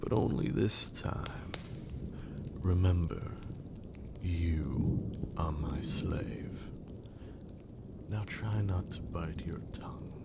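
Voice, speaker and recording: A man playing a teasing, possessive vampire master in a breathy, formal, seductive ASMR roleplay. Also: exaggerated voice. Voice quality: breathy, low, creaky. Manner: slow, deliberate, formal, seductive.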